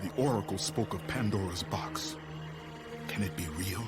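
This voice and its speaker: Deep, gruff, grim warrior played by a man. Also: moderate pace, music in the background, lightly acted. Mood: grim, intent. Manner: terse, intense. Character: grim, angry, battle-hardened warrior man. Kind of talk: video game cutscene acting.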